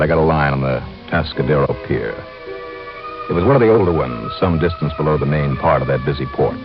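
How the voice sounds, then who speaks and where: A very deep male voice plays a wry, hard-boiled insurance investigator. He is sardonic and clipped, in vintage radio detective style. The old broadcast is muffled, with music underneath. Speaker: lead actor and narrator. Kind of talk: 1950s radio detective acting, first-person narration.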